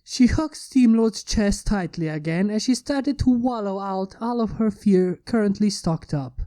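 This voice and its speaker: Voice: medium pitch, expressive, measured pace, mellow. A narrator of ambiguous-sounding sex reading a scripted fan fiction story in a dramatic storytelling style. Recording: music behind the voice.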